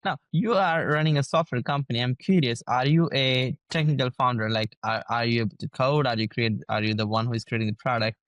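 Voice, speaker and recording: An upbeat man hosts an interview podcast, with energetic introductions and friendly banter toward his guest. His voice sits at a medium pitch and sounds dry and close to the mic. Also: a little fast, hardly any pauses, no echo. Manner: upbeat, hype-style, calls people guys and brother. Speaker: podcast host.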